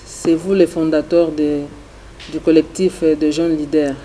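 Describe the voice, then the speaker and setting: A male TV host asking formal, measured questions in a studio interview. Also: a little high, flat delivery, dark tone, slight background noise.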